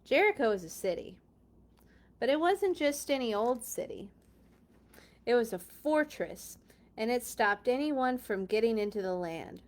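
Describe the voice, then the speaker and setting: A woman's voice: fairly high pitch, very animated, a little slow. A woman hosting a children's read-along, reading a storybook expressively with chatty, playful asides and dramatic emphasis.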